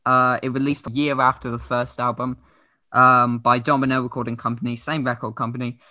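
A man's voice: medium pitch, level tone, a little fast, bright, forceful tone, dry recording, studio quality. A young man co-hosting a podcast, chatting casually and jokily about music. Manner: jokey, playful. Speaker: podcast co-host.

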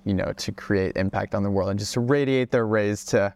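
A young man raised in Hawaii, a guest on a casual podcast interview, talking in a relaxed way with plenty of fillers and restarts. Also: on the low side, a little fast. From Czech Republic, raised in Hawaii. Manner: relaxed, many fillers and restarts, laughs often. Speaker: guest, travel creator.

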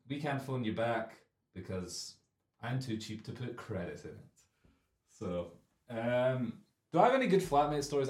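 A young man in his twenties hosting a comic chat show, loud and rambling, with a deep carrying voice that is a bit phlegmy. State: phlegmy, clearing throat. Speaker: radio show host. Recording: echoey studio room.

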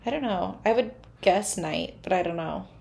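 Pregnant woman host, twenties, giggly and chatty, middle-pitched. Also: moderate pace, creaky, a little mellow. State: heavily pregnant. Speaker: podcast host. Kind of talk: casual chat.

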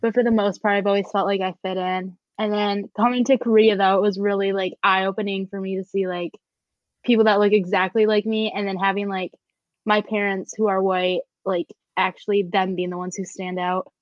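A woman's voice: medium pitch, little pitch movement, a little fast, no echo. A young woman chatting casually, with many "like" fillers. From United States. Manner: easygoing, frequent "like" fillers. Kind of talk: casual chat. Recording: slightly dull, dry sound.

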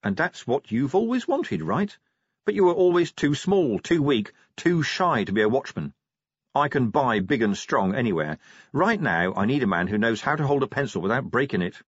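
A man's voice: medium pitch, big pitch swings, normal speed, husky, no echo, dull audio, voice pushed up. A man voicing a tough middle-aged male city watch commander in an audiobook, blunt and commanding. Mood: earnest. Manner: blunt, commanding, scornful when angered. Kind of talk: audiobook character voice.

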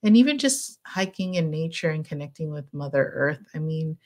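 This is a middle-aged woman psychologist chatting as a podcast guest. Her voice sits a bit low, and her manner is gentle and relaxed. She wanders through thoughts with plenty of fillers and light laughter. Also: moderate pace. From United States. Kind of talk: casual podcast interview.

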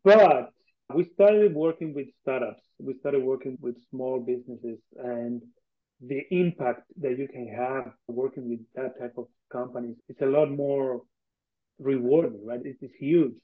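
A man's voice: mid-range voice, measured pace. A man from Argentina with an Argentine accent speaks English as a second language, conversationally and earnestly as a podcast guest, often repeating phrases for emphasis. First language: Spanish. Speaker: interview guest, tech company co-founder. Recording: thin phone-like online call audio.

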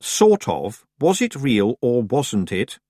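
Man playing a brisk, forthright young witch girl. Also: medium pitch, wildly swooping pitch, dark tone, dry recording, studio quality, heavily acted. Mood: insistent. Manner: forthright, insistent.

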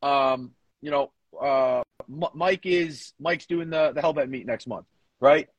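Man, husky voice, dry close sound, casual podcast co-host, emphatic, repetitive, sweary coach talk. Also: mid-range voice, measured pace, no room sound, poor audio. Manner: profane, emphatic, repeats phrases.

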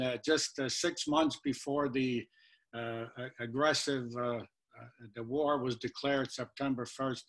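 A man in his eighties, born in Lithuania, answering interview questions about his childhood, with many pauses and fillers. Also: mid-range voice. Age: 80s. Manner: halting, many uh fillers, trails off quietly. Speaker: guest.

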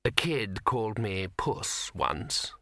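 A man's voice: medium pitch, sing-song, takes it slowly, sharp tone, no echo, clean studio sound, voice pushed up, heavily acted. A man voicing a battle-scarred talking tomcat, world-weary, laconic and doubtful, in a storybook reading.

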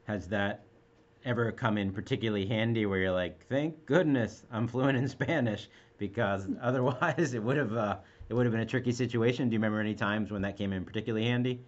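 A man's voice: lowish voice, brisk, highs cut off. A man hosting a casual interview, asking questions and reacting with encouragement and laughter. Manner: upbeat, encouraging, laughs along.

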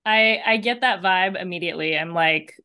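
A woman's voice: on the low side, moderate pace, pushed and bright. Upbeat woman on a video call. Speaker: guest. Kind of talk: casual chat.

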